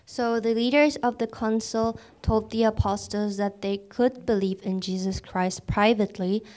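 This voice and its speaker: Woman translating a sermon, mellow voice, smooth and steady. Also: a little high, a little slow. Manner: smooth, fluent, even. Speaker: interpreter. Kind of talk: consecutive sermon interpreting.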